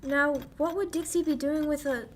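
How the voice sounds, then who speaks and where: A woman's voice: high-pitched, moderate pace, a little hiss or hum, natural voice. A young woman acting a detective's feisty, devoted sidekick in 1940s radio style, earnest and coy. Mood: coy, curious. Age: young adult.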